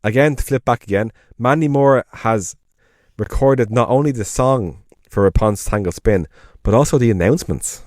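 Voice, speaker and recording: A man's voice: mid-range voice, normal speed, darkish tone, no echo, clean studio sound. An Irish man co-hosting a podcast, chatting casually and enthusiastically, rambling and correcting himself as he goes. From Ireland. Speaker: podcast co-host. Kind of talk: casual chat.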